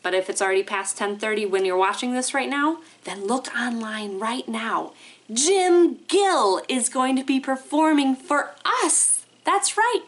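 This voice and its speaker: A woman hosting library storytime, reading aloud and explaining things expressively to young children, often checking in with them. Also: high-pitched.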